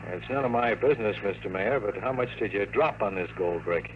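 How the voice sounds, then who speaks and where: An American actor playing a cocky, wisecracking private investigator in a 1950s radio drama, with dry, slangy tough talk. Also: male voice, lowish voice, brisk, lightly acted. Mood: dry, shrewd. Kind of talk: 1950s radio drama acting, hardboiled narration. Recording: noisy vintage 1950s radio recording.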